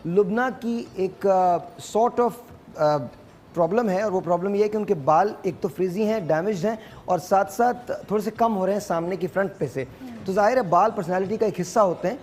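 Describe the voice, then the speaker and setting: Guy hosting a morning tv show, high voice, teasing and chatty, drops in english words. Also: normal speed, a little mellow, slight background noise. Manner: mixes English words into talk; playful, teasing. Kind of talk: lively studio talk show chat. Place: TV studio.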